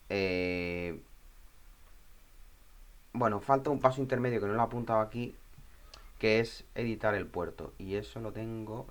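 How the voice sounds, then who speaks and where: Low-voiced man presenting an informal tutorial. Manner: thinks aloud, often checks in with short questions. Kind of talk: informal step-by-step software tutorial.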